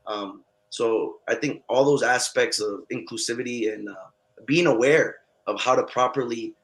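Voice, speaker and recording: Man, native Spanish speaker, candidate, earnest, halting, fillers, video call. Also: mid-range voice, a bit bright, rough recording. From United States. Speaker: political candidate. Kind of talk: forum answer.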